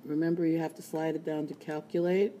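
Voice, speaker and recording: A woman teaching a statistics lesson in a screencast, with a raspy voice and playful, chatty asides. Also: deep voice, flat delivery, a little fast, mellow, slight background noise. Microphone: tablet screen recording.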